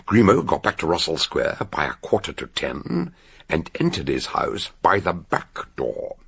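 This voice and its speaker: A man's voice: rumbling low, wildly swooping pitch, gravelly, fairly bright tone, slightly rough, full character voice. An older British actor playing an erudite amateur detective in a radio drama, laying out his explanation with theatrical, emphatic relish. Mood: explanatory, vivid. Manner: dramatic, emphatic, deliberate storytelling. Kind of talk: radio drama acting, expository storytelling.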